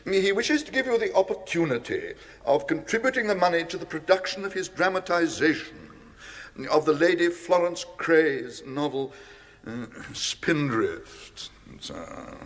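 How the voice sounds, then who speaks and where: An actor playing a deferential, unflappable English gentleman's valet, formal, measured and politely understated, in a British radio comedy. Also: fairly high pitch, expressive. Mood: formal, composed. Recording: long echoing room reverb.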